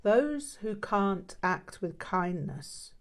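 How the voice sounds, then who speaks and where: A woman's voice: mid-range voice, very animated, extremely slow, full character voice. A woman voicing a stern, probing old male Buddhist master in an audiobook, with blunt, emphatic short sentences. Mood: grave. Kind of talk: audiobook character dialogue.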